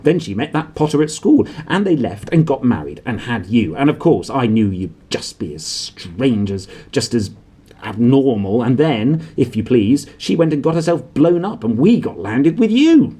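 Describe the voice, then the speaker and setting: A man's voice: mid-range voice, big pitch swings, normal speed, dark tone, breathy edge. A man voicing a bitter, jealous middle-aged woman in a storybook, ranting with sneering indignation.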